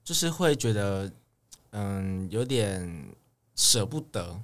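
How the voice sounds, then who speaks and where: A man's voice: fairly low pitch, expressive, slow. A young man, chatting casually as an idol guest. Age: young adult. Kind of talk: casual chat.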